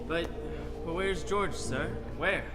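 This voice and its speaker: An actor playing an eager, humble apprentice angel in a radio play. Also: male voice, a little high, slow. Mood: eager, grateful. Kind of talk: 1940s radio play acting. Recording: long hall reverb. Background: music.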